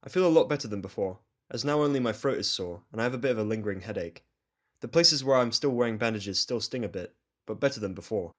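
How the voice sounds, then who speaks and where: A man's voice: lowish voice, a bit bright. A man reading a first-person fan fiction story as narrator, with a blunt, sardonic delivery.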